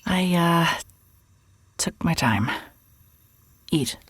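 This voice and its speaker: An actress playing a weary, evasive woman in an audio drama, speaking haltingly and apologetically. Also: on the low side, animated, fairly bright tone, husky, full character voice. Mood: subdued, evasive. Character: weary, evasive woman, a traveller staying at a motel. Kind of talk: naturalistic audio drama acting.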